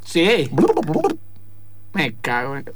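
A gruff, dismissive Argentine football coach, played by a man in radio comedy. He is brusque and impatient, with pitch that swings widely. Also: expressive, very slow, noisy background. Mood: brusque, irritated. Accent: Rioplatense Argentine.

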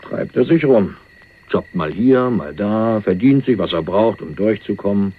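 An actor playing a hunted young millionaire on the run, wry and streetwise, talking in a dry, colloquial, confiding way in a crime radio play. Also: male voice, on the low side, expressive, a little slow, slightly rough. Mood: dry, sardonic. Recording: muffled phone-like narrowband radio recording.

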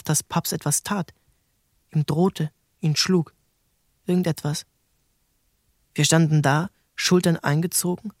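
A man narrating a literary novel in a measured, storytelling manner. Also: fairly high pitch, dry recording, studio quality. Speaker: audiobook narrator.

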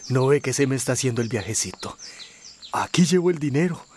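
A man's voice: medium pitch, expressive, a little fast, a little mellow, slight background noise, close to an everyday voice. An actor playing an eager, humble would-be migrant worker in a radio drama, deferential to his neighbour. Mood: excited, hopeful.

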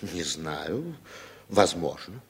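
Low, husky, wry playwright. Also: male voice, sing-song, heavily acted. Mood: defiant. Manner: measured, courteous, ironic. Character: wry, educated middle-aged American playwright, politely defiant. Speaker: actor in a radio play. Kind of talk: 1960s Soviet radio drama acting.

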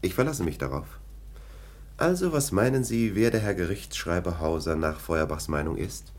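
Very deep, scornful police lieutenant. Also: male voice, animated, moderate pace. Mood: confidential, sardonic. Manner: sardonic, dismissive. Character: scornful, domineering male police lieutenant, sceptical and sly. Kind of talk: German radio play acting.

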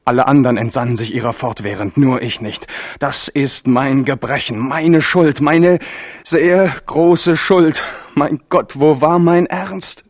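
A man's voice: mid-range voice, unhurried, dry recording, clean studio sound, natural voice. An actor playing a grieving, bitter young king in a German radio drama, brooding and theatrical. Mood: anguished self-reproach.

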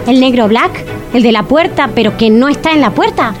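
A woman's voice: a little high, lively intonation, a little fast, over music, natural voice. An actress playing a sweet, naive, gushing woman with a sing-song delivery and a Buenos Aires accent. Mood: gushing, tender. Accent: Argentine, Buenos Aires. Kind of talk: comedic audio drama acting.

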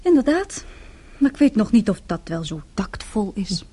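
A husky, dark-toned woman's voice at medium pitch. She is cool and sceptical in a radio play, fending off a suitor with dry teasing. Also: very animated, normal speed. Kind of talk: Dutch radio play acting.

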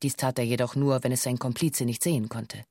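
A woman's voice: extremely low pitch, steady tone, brisk, mellow, no room sound, clean studio sound. An actress playing a female bank hostage who gives a formal, matter-of-fact witness statement in a documentary radio drama. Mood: sober recounting.

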